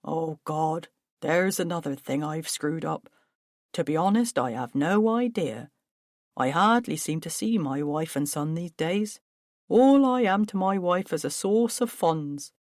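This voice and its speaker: A woman's voice: low-pitched, lively intonation, measured pace, a little mellow, dry recording, clean studio sound. A woman voicing a flustered, self-pitying middle-aged businessman who turns defensive and agitated. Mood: rueful. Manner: agitated, defensive, rising to outbursts.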